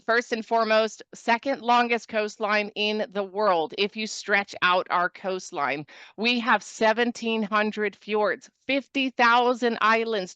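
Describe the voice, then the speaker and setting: Woman from Norway, travel presenter on a video call. Crisp, clean delivery in mid register, informal and upbeat with wry asides, no room echo. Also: medium pitch, bright, forceful tone. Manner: informal, enthusiastic, joking asides. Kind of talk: promotional virtual presentation.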